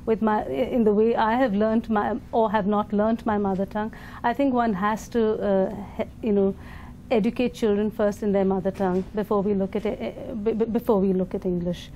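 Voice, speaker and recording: A woman's voice: mid-range voice, steady tone, normal speed, mellow, slight background noise. An Indian woman leading an education foundation, answering interview questions thoughtfully with fillers and restarts.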